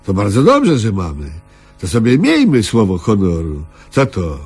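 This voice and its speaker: A man's voice: fairly low pitch, wildly swooping pitch, moderate pace, a little mellow, breathy edge, soft background music, heavily acted. An actor playing an idle, obtuse, stubbornly argumentative man in a comedic radio play, with a blunt, ponderous, mock-innocent way of talking. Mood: dull, unbothered.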